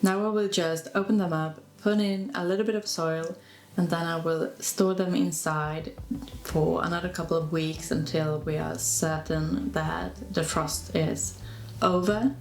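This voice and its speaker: A woman's voice: fairly low pitch, fairly even intonation, measured pace, echoey room, poor audio. A Swedish woman, whose first language is Swedish, chatting casually with a Swedish accent as she shows things to the camera, filling her talk with "like". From Sweden. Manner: chatty, fillers of "like", self-deprecating. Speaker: vlogger. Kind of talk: casual show-and-tell narration.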